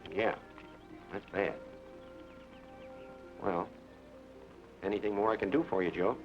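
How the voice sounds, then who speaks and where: An actor playing a weary lawman captain who speaks in a grave, measured way. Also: lowish voice, steady tone, faint music behind. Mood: somber. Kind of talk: 1950s western film dialogue. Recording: dull old film soundtrack with score.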